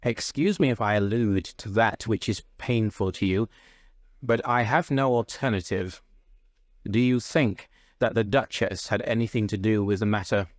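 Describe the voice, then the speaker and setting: A man voicing a calm gentleman detective in a classic story, with a bland, polite, precise manner. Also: on the low side, normal speed, no room sound, natural voice. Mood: probing.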